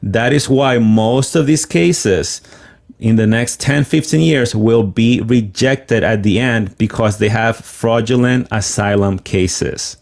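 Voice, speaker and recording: A man's voice: medium pitch, slow pace, vocal fry, a little mellow. A Venezuelan-born man hosting a heated livestream debate, speaking firmly and insistently and cutting in to defend his views. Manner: firm, insistent, talks over the other speaker. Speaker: host.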